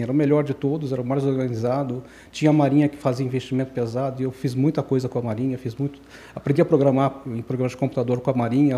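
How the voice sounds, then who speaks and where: A man's voice: mid-range voice, warm tone. A man in his sixties, raised in Brazil, telling his life story as a podcast guest, rambling and correcting himself as he goes. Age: 60s. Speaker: guest engineer. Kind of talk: casual podcast interview.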